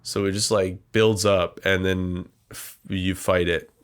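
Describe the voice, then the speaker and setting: A man's voice: low-pitched, little pitch movement, measured pace, clear, resonant tone. A young man hosting a comedy podcast, rambling casually and self-deprecatingly, his voice congested and nasal. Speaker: podcast host. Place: small warm room with a computer.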